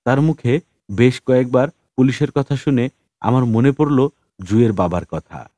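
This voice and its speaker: A man narrating a story as an audiobook reader, in an expressive scripted style. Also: medium pitch, normal speed, no room sound.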